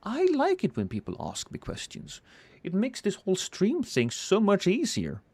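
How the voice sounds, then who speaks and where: A Norwegian man streams a game live, bantering playfully with his chat. His voice sits a little high and swings widely in pitch, jumping up when he shouts at the action. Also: huge pitch swings, normal speed, husky, no room sound. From Norway. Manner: reacts loudly to in-game action.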